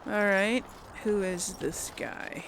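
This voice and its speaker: A woman's voice: medium pitch, slow, creaky, background noise. A woman giving casual game commentary, thinking aloud and chatting to her viewers. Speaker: gamer hosting a let's play. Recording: game music behind the voice. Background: video game music.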